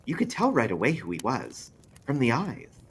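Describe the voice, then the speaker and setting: A man voicing a pert, reluctant high school girl at a book club in a read-aloud story. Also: huge pitch swings, normal speed, slight background noise. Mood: pert, questioning.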